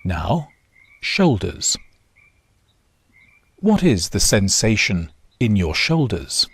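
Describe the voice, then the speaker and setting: A man guiding a body scan meditation in short, measured instructions with long pauses. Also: on the low side, big pitch swings, fairly bright tone, husky, no room sound. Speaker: meditation guide. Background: nature sounds.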